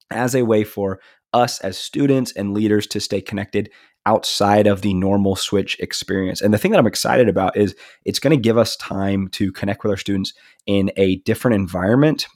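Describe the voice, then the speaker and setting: Brief: a man, lower voice, stuffed-up nasal sound, upbeat podcast co-host, dry close sound. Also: darkish tone, no room sound. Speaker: podcast co-host, student ministry leader. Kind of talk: casual podcast chat.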